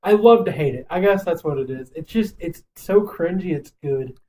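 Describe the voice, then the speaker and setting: A man co-hosting a podcast, chatting casually about movies in an opinionated, animated manner. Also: a little high, normal speed, a little hiss or hum. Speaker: podcast co-host.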